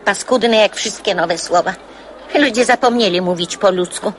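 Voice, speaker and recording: A woman's voice: mid-range voice, normal speed, some chatter behind, a little hiss or hum, natural voice. An actress playing a stern ninety-year-old grandmother in a radio play, curt and opinionated. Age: older.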